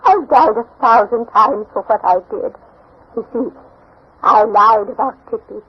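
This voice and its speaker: A woman's voice: on the high side, measured pace, breathy edge. Remorseful old grandmother, muffled vintage radio. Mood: remorseful, pleading. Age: older. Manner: earnest, emotional, pleading. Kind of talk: 1940s radio drama acting. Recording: muffled, noisy 1940s radio recording.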